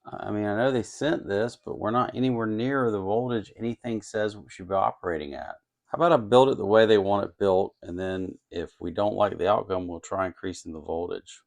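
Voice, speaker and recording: Low, gravelly man thinking aloud. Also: brisk. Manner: thinks aloud, musing and hesitant. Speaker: host of an electronics kit-building channel. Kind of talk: casual, unscripted workbench narration.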